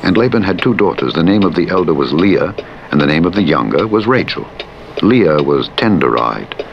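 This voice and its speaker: A man narrating the Bible in a solemn, measured and formal declamatory manner. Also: highs cut off. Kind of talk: solemn scripture reading. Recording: played in a game over rumbling truck engine noise. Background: vehicle rumble from a truck simulator game.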